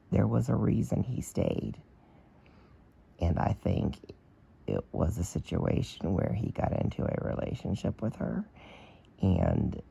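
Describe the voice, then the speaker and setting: A man with a hoarse, damaged voice giving blunt, opinionated commentary in a casual video. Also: lowish voice, dark tone. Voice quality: damaged, strained voice. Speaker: vlogger.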